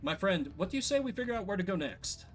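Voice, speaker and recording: A man's voice: sits high, very animated, fast, voice pushed up. Upbeat, easygoing young exile played by a man. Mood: easygoing, grateful. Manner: casual, friendly phrasing.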